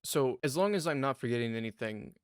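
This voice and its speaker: A man's voice: mid-range voice, animated, fast, smooth, dry recording, studio quality. American man hosting a reaction video. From United States. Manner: chatty, analytical. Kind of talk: casual commentary on video clips.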